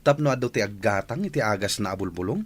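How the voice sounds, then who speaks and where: A man's voice: fairly low pitch, animated, quick pace, husky. A man acting a skeptical, teasing young son in a radio drama.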